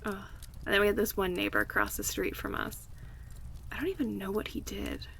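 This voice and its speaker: A woman playing an affectionate, chatty friend in a casual, intimate ASMR roleplay, speaking softly and in a relaxed way. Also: mid-range voice, a little fast, vocal fry. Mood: relaxed, friendly. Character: affectionate young woman, cozy longtime friend hosting a night in. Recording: faint background ambience noise.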